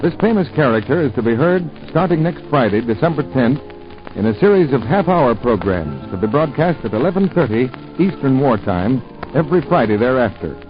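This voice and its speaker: A man announcing a 1940s radio commercial, smooth, persuasive and emphatic. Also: medium pitch. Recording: muffled 1940s radio broadcast with music.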